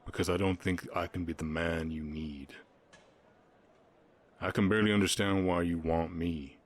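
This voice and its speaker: Orc cowhand played by a man: extremely low-pitched Southern drawl, gentle, reserved, halting, for intimate ASMR romance. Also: steady tone. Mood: tender, melancholy. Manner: halting, sighing, earnest. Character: gentle, reserved orc ranch hand in love. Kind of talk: intimate ASMR romance roleplay, Western setting.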